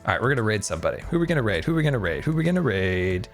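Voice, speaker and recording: A man chatting casually on a game live stream, excitable and talkative, thanking his viewers as he plays. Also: fairly low pitch, rapid-fire, soft background music.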